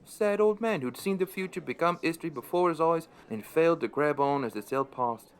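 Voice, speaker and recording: A man's voice: on the high side, lively intonation, brisk, clean tone, natural voice. A man playing a middle-aged pub landlord who narrates a radio play in a dry, understated, wry way. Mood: reflective, wry.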